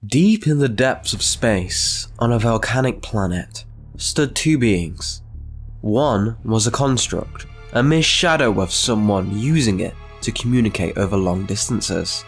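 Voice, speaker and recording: A man's voice: on the low side, expressive, unhurried, breathy edge. Male story narrator over faint music. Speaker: narrator and channel host.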